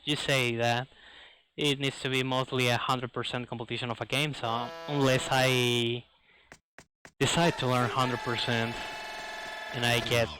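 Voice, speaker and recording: A man's voice: mid-range voice, level tone, a little slow, background noise. A man giving casual live speedrun commentary in non-native English phrasing, with video game music and effects behind him.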